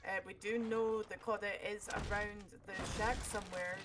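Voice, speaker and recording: A woman commentating a live esports match with quick, excitable play-by-play, her voice getting worn. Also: mid-range voice. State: losing her voice. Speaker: esports caster. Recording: noisy stream audio.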